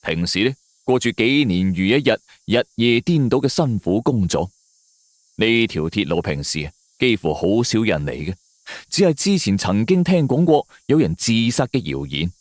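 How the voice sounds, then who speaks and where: A man's voice: lowish voice, very animated, normal speed, no room sound, clean studio sound. A man narrating a scripted suspense story in a dramatic storytelling manner.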